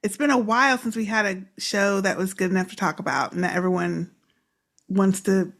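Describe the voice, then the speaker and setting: Woman host giving warm welcomes. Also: mid-range voice, brisk. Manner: encouraging, brief affirmations. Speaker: podcast host. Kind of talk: casual podcast chat.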